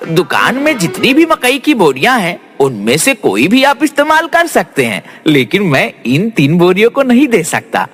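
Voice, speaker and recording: A man acting a stubborn, high-voiced miser merchant. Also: huge pitch swings, moderate pace, hardly any pauses, a bit bright, exaggerated voice. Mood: stubborn, pleading. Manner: pleading, insistent. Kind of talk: cartoon comedy story acting. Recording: music behind.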